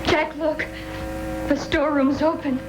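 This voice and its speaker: An actress playing a frightened, loyal young wife in an old-time radio adventure drama. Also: female voice, high-pitched, steady tone, unhurried, heavy background noise. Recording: old radio drama with loud music behind.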